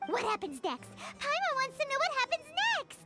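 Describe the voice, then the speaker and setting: A woman voicing a tiny, childlike floating fairy companion in a video game, squeaky, excitable and cheeky. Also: normal speed, over loud music. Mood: curious. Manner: speaks of herself in third person.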